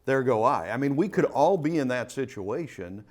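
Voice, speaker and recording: A man's voice: mid-range voice, animated, moderate pace, a little mellow. Conversational male talk show host. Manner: conversational, leading questions. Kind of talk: community talk show interview.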